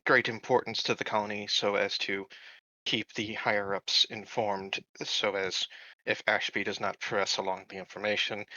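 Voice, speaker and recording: A secretive junior officer, acted by a man at a gaming table speaks in stiff, measured official phrases. His voice is bright and crisp with a dry, close sound, slightly muffled by a dull recording. He stays guarded and formal. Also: lowish voice, dry recording. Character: formal, secretive male subordinate officer reporting to superiors.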